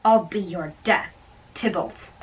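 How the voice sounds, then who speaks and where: A woman giving an amateur dramatic reading of a play, performing all the parts in a theatrical, halting way. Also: on the low side. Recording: distant microphone.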